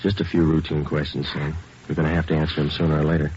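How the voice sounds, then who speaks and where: Through a crackly, muffled old radio recording comes a terse police detective. His voice sits low and moves briskly, dry and matter-of-fact, as if every line were a report. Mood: calm questioning. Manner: clipped, matter-of-fact, deadpan. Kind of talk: 1940s-50s radio police drama acting and narration.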